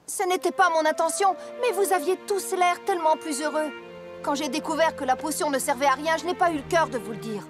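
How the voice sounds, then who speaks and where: A woman's voice: very high-pitched, lively intonation, moderate pace, over music. A woman voicing an honest, earnest young female farm pony with an emphatic, sincere delivery in a French-dubbed children's cartoon. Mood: remorseful.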